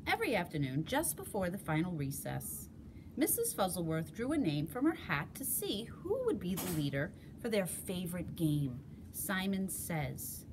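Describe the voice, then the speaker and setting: A woman reading a children's storybook aloud expressively, with dramatic pauses and emphasis. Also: fairly low pitch, very animated, background noise. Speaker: storybook reader.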